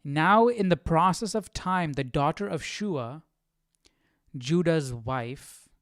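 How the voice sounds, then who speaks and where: A man's voice: a little high, animated, slow, no room sound, clean studio sound. A man hosting a casual live Bible study, reading and puzzling aloud as he repeats phrases.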